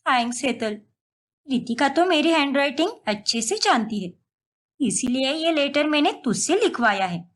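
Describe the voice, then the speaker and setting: A woman's voice: sits high, expressive, moderate pace. A woman voicing an insistent, scheming young sister-in-law in a dramatic cartoon family melodrama. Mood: plotting.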